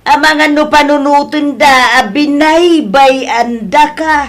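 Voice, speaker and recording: A woman's voice: sits high, slow, a bit bright. A woman with an Ilocano accent playing a weary, caring parent counselling a grown child in a radio drama. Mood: earnest, concerned.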